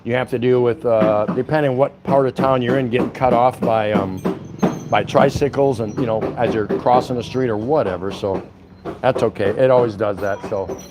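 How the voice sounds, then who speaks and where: A man's voice: mid-range voice, steady tone, moderate pace, loud music behind the voice. A man chatting casually to his viewers in a rambling, folksy, slangy way as he vlogs on a walk. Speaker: vlogger livestreaming a walk. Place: on a walk around a city, stopping at a convenience store. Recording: street and vehicle noise behind. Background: traffic and ambient noise.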